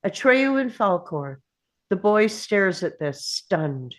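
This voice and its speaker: A woman's voice: medium pitch, very animated, slow pace. Woman narrator reading a screenplay aloud. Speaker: narrator reading stage directions. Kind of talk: scripted narration at a table read.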